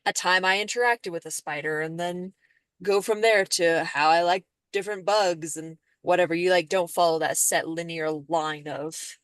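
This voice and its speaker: Young woman w a low voice, rambly casual podcast chat, lots of likes, dry audio. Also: normal speed, a bit bright, clean tone, no room sound. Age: young adult. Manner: rambling, peppered with "like". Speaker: student podcast panelist.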